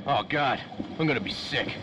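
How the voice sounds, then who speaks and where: A man's voice: mid-range voice, normal speed, noisy background, close to an everyday voice. A man playing a nervous, superstitious, deferential helper to a detective in a 1930s-style radio drama. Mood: frightened. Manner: jittery, deferential.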